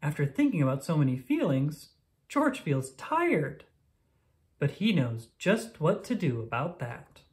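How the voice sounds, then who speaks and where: A woman with a low-pitched voice narrates a children's picture book. Her intonation swings widely from phrase to phrase, and she delivers each line deliberately and expressively for young listeners. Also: sing-song, a little mellow. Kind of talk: scripted read-aloud narration of a picture book.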